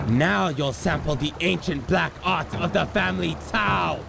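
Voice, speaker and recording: A man's voice: on the high side, unhurried, vocal fry, very noisy. A man voicing an arrogant, power-hungry animal warlord in a video game, pompous, menacing and theatrical in his delivery. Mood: menacing fury. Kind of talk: video game villain acting. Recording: music behind the voice.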